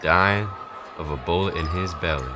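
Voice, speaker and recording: Deep-voiced folksy storyteller played by a man. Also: moderate pace, over music, natural voice. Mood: folksy, dramatic. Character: folksy Old West storyteller, unhurried male townsman. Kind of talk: scripted narration of a Western folk tale.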